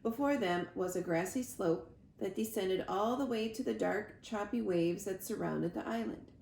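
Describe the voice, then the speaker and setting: A woman reading a children's storybook aloud with expressive narration. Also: mid-range voice, normal speed. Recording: roomy echo, clear background noise.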